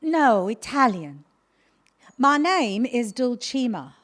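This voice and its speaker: A woman's voice: a little high, very animated, takes it slowly. An actress playing a wary, deferential young captive woman in an audio drama, speaking hesitantly and politely. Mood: nervous, guarded.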